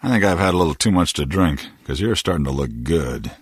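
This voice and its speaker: A man's voice: low-pitched, expressive, fairly quick, slightly rough, no echo, clean studio sound. An actor playing a leering drunken man who makes crude, coaxing passes in a video game. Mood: sleazy flirting. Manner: sleazy, coaxing.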